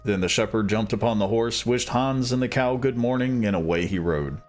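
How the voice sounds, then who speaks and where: A man reading a fairy tale aloud as a storyteller, after relaxed, rambling chat. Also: mid-range voice, normal speed. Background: soft background music.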